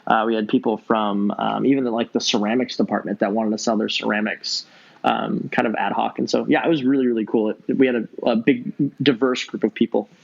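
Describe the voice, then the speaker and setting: A man in his thirties from Southern California, answering interview questions in a relaxed way, with plenty of ums and easy laughs. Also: lowish voice, a little fast, vocal fry, dull audio. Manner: relaxed, many ums and uhs, laughs easily. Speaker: podcast guest, entrepreneur.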